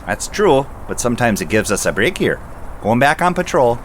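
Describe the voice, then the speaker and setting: A man's voice: medium pitch, very animated, normal speed, lightly acted. A man acting as an earnest police patrol officer in an audio drama. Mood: relaxed, friendly. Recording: loud car and engine ambience noise.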